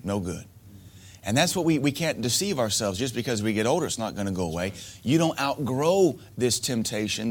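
A man preaching a sermon to men, emphatic and repetitive, asking rhetorical questions. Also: medium pitch. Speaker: pastor.

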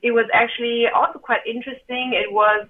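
A woman's voice: on the high side, little pitch movement, brisk. Woman on a tinny line. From Germany. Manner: chatty, loose storytelling with many fillers. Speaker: guest. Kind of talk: conversational interview answers. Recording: tinny phone-line audio.

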